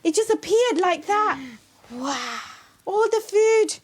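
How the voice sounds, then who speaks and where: A woman, a madrasa teacher reading a story to young children in a lively, sing-song way. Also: very high voice, slow. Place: library classroom in a mosque.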